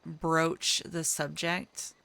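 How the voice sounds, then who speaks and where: A woman role-playing a low-key, deadpan woman in an online game. Her voice sits low and has a gravelly fry as she talks conversationally. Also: very slow, full character voice. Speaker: role-player in a live-streamed online game. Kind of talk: improvised in-character conversation.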